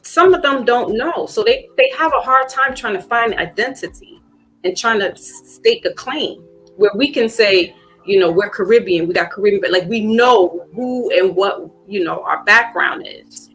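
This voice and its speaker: A woman's voice: mid-range voice, expressive, normal speed, fairly bright tone, rough recording. A woman hosting a podcast speaks in casual conversation, reflective and full of fillers, then grows emphatic. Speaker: podcast host.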